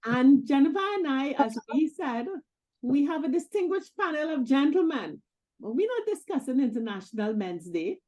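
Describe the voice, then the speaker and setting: A woman in her sixties co-hosting a talk show, encouraging and quick to laugh. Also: high voice, very animated, low-quality recording. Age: 60s. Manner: laughs often, warm and encouraging. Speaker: co-host. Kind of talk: casual chat.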